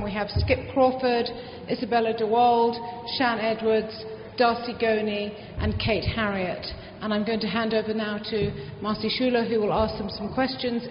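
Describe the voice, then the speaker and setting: A woman introducing a candidate forum, speaking haltingly with many fillers. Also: a little high, slight background noise. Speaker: forum organizer. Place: large hall. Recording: echoey hall, dull sound, faint crowd.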